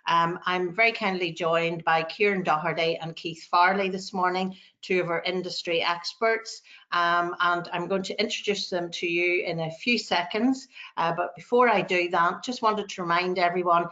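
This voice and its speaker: Lady hosting a webinar, kinda low voice, lots of ums, muffled call sound. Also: steady tone, normal speed, sharp tone. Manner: polite, with many ums and hedges. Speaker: webinar host.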